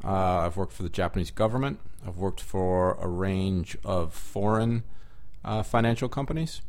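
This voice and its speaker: Measured man, fairly low voice. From United States. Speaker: interview guest, equities executive. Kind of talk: conversational interview.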